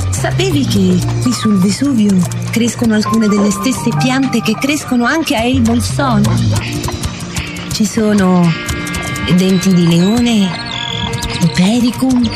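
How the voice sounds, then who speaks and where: Slow, tender sister. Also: female voice, fairly high pitch. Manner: tender, unhurried. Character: affectionate sister writing home to her little brother. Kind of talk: radio play, letter read aloud.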